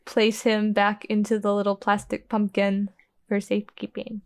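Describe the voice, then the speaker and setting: A woman playing a tabletop game, casually describing her character's actions in an informal, halting way. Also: mid-range voice, monotone. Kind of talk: casual improvised roleplay narration. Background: music.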